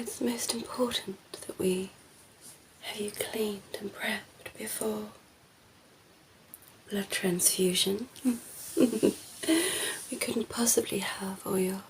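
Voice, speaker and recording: A woman voicing a ditzy, flirty female vampire in an ASMR roleplay, speaking softly and breathily, often in a whisper, with a coy, teasing manner. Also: mid-range voice, slight background noise, low-quality recording. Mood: delighted, coy. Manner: slow, teasing, coy, giggly.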